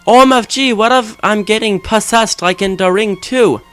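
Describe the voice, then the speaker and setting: A man's voice: sits high, normal speed, a bit bright, smooth, soft background music, pitched above the performer's own voice. A man voicing a melodramatic, whiny, angsty teenage goth girl in a fan-fiction reading. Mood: distraught, shrill.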